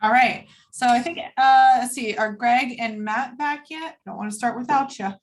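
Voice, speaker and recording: A woman's voice: a little high, moderate pace. A woman hosting a group video call in a casual, organizing manner, directing people as they chat. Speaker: host of a cast table read.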